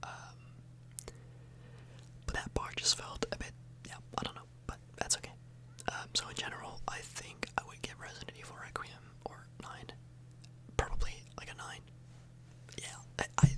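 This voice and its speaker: Whispering woman, casual ASMR ramble. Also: rough recording. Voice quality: breathy, unvoiced whisper. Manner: informal, hesitant, meandering. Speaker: ASMR video host. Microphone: close microphone.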